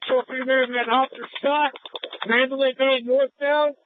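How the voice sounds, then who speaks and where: A man's high voice comes through a tinny, dry police radio channel. He is a police officer passing urgent traffic from the field. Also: little pitch movement, normal speed, no echo. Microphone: two-way radio. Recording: tinny narrow-band police radio transmission.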